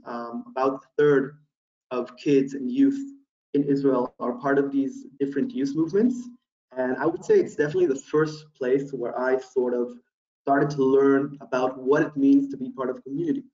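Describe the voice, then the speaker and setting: A man in his thirties from Houston giving a relaxed webinar presentation from a small room, with many ums and uhs as he thinks aloud over a laptop webcam. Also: mid-range voice. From USA (Houston, Texas). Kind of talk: casual online presentation. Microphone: laptop webcam mic, video call. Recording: roomy, distant, dull webcam audio.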